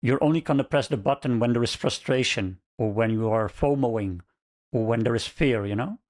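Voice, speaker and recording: A man's voice: mid-range voice, normal speed, darkish tone. A man hosting a casual chat, talking through a guest's struggles in a thoughtful, reassuring way with fillers. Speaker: trading channel host.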